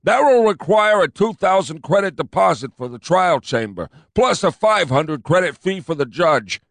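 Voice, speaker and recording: A man's voice: on the high side, big pitch swings, normal speed, vocal fry, dry recording, clean studio sound, voice pushed up. A man voicing an immensely fat, officious police commander who talks in a pompous, overbearing way, in an audiobook reading.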